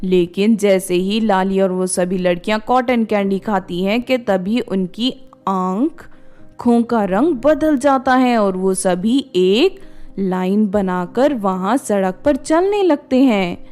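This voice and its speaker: A woman's voice: mid-range voice, expressive, slow pace, darkish tone, soft background music. A woman reading a scripted children's story as its narrator.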